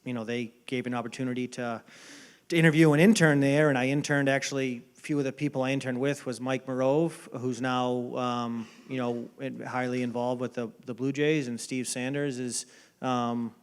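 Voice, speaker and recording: A man in his thirties from Boston with a Boston accent, answering interview questions in a relaxed way with many ums and restarts. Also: medium pitch, normal speed, smooth. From Boston area. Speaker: baseball front office executive. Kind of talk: casual interview at a dinner event.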